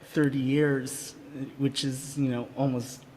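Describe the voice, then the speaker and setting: A middle-aged man hosting a casual live conversation, halting and often repeating his words as he goes. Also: mid-range voice, level tone, dark tone. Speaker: podcast host and music producer. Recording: reverb-drenched live stream, clear background noise.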